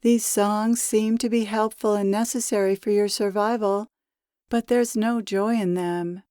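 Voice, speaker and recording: A woman leading a guided meditation as a spiritual teacher, with measured, deliberate phrasing. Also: medium pitch, steady tone, warm tone, smooth, no room sound, studio quality. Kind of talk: guided meditation, spoken as a channeled message.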